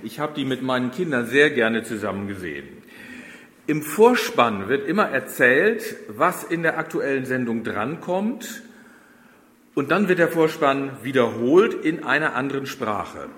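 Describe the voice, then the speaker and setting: A man's voice: mid-range voice, animated, measured pace, a bit bright. A middle-aged German man preaching a sermon to his congregation, speaking conversationally and turning to them with questions. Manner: conversational, addresses the congregation directly. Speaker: pastor. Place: church. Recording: roomy church with long reverb.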